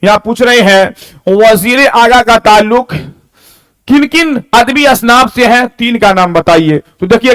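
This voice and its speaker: A man's voice: very high voice, fairly bright tone, clear, resonant tone. A man teaching an exam-prep lesson, reading out questions and answers, repeating them and checking that students follow.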